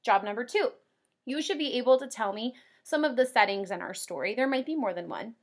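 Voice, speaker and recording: A woman kindergarten teacher doing an expressive read-aloud for young children, with drawn-out emphasis and simple explanations. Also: fairly high pitch. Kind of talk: animated children's read-aloud with comprehension questions.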